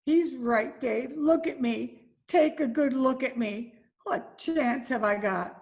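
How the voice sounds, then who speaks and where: A woman's voice: sits high, takes it slowly, creaky, a little mellow, exaggerated voice. An actress playing a despairing, worn-down street woman, plaintive and pleading, in old-time radio drama style.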